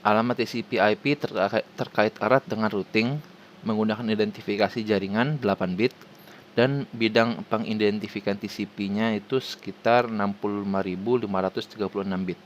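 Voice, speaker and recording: A man with an Indonesian accent reading a formal scripted presentation haltingly, stumbling over words and restarting them. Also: on the low side, steady tone, slight background noise. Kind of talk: scripted presentation read aloud.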